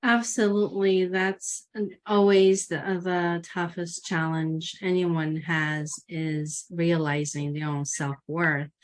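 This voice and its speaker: A woman's voice: fairly low pitch, little pitch movement, takes it slowly, hardly any pauses. A woman hosting an interview, talking in a thoughtful, coaching, affirming way. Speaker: podcast host. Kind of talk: interview conversation.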